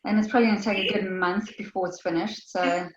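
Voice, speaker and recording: A woman's voice: medium pitch, fairly even intonation, quick pace, a crowd in the background. Wry woman on a muffled, echoey line. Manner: wry, stressed, self-mocking. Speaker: group participant. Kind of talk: casual chat on a group call.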